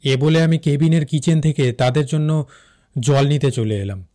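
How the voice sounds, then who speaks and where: A man playing a shaken railway officer who recounts a ghostly encounter in an animated horror story, with suspenseful, halting delivery. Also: on the high side, lightly acted. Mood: fearful, suspenseful. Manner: suspenseful, halting, repeats words for effect.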